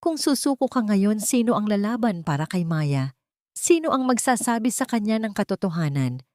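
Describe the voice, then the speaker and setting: A woman's voice: medium pitch, sing-song, normal speed, a little mellow, dry recording, studio quality, voice pushed up, close to an everyday voice. Woman as a tearful, trembling old mother figure. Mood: pleading. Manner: pleading. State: voice trembling with sobs. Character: tender, tearful elderly foster mother.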